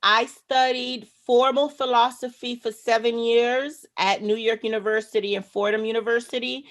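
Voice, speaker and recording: A woman speaking as a guest on a video call, delivering a motivational talk in a slow, emphatic, earnest way. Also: on the high side, fairly even intonation. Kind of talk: motivational speech.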